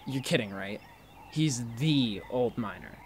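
A man's voice: medium pitch, measured pace, lightly acted. Man acting inquisitive, teasing college student, noisy background. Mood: explanatory. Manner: prodding, animated, incredulous. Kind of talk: fiction podcast acting.

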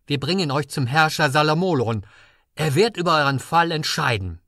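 A man voicing a gruff, commanding guard in a fantasy audio drama, stern and threatening. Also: fairly high pitch, lively intonation, moderate pace, pushed and bright, dry recording, studio quality, heavily acted. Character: gruff, threatening male guard scolding drunken fauns.

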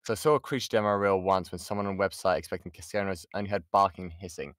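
A man's voice: deep voice, fairly even intonation, racing, a bit bright, no room sound, studio quality. A British man giving an informal voice acting lesson and answering questions, often restarting his sentences and stressing key points. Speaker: voice actor giving a workshop. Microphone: close mic on an online voice chat.

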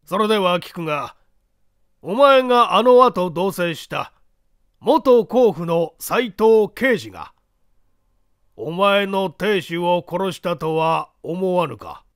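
Mystery audio story: stern male judge acted by a male reader, blunt interrogator, high and smooth-toned. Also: lively intonation, takes it slowly, fairly bright tone, dry recording, studio quality, heavily acted. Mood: stern, probing. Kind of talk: dramatised mystery story reading.

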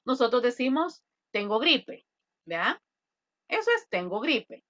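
Woman, English teacher on a video call, bright, tense tone, dry and muffled sound, patient explaining. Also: fairly high pitch, very animated, unhurried. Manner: explains patiently, quizzes students with counting. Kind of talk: online grammar lesson in Spanish.

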